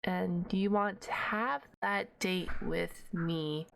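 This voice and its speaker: A woman's voice: on the low side, takes it slowly, vocal fry, a little hiss or hum. A woman voicing a shy, nerdy, flustered half-dragon librarian in an ASMR roleplay, hesitant and rambling with awkward humour. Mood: awkward, apologetic.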